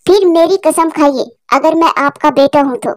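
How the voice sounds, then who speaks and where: A high, childlike voice from a woman plays an orphaned young boy bird in a cartoon. He is earnest and pleading, with a wounded innocence. The sound is close and dry. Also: normal speed, no room sound, lightly acted. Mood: hurt, pleading. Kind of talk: Hindi cartoon story character voice.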